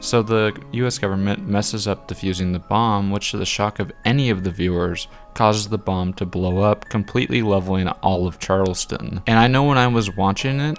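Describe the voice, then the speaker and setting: A man narrating a scripted video essay as its presenter. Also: low voice.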